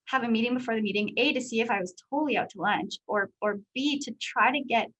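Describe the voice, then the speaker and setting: A woman in her thirties from Canada with a high voice, answering interview questions as a guest speaker in a candid, chatty way full of fillers. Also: fast, a bit bright, rough recording. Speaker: guest speaker, company executive.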